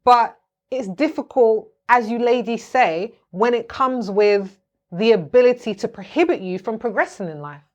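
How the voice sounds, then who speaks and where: A woman's voice: fairly high pitch, animated, moderate pace, lo-fi sound. A British woman speaking candidly and reflectively in a podcast conversation. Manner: earnest, reflective.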